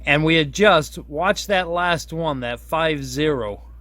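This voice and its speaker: A man's voice: a little high, slow pace, sharp tone, no room sound. A man giving a casual, unscripted hands-on product demonstration, folksy and self-deprecating, often rambling and correcting himself as he goes. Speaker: product reviewer demonstrating a radio.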